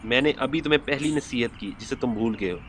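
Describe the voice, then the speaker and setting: A man voicing a small, wise female sparrow in a storybook moral tale, admonishing and deliberate as she gives advice. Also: mid-range voice, fairly bright tone, slight background noise, natural voice. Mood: scolding.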